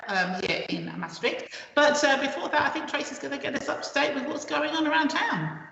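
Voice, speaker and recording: A woman's voice: high-pitched, a little fast, gravelly, noisy background. A woman hosting a casual live stream show, chatty and hesitant, with many fillers as she reads out items. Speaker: host. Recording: roomy echo, dull lo-fi sound.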